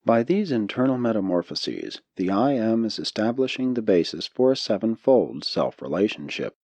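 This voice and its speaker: A man narrating a spiritual audiobook in a solemn, measured way. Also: fairly low pitch, darkish tone, no room sound. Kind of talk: scripted narration of a spiritual text.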